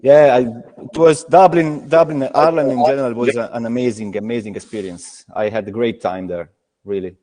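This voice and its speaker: A man's voice: mid-range voice, steady tone, warm tone. Guy talking beer in english, lots of ums and restarts, echoey reverb. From Italy. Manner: halting, many restarts and fillers. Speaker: craft brewer, guest. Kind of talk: casual video call chat.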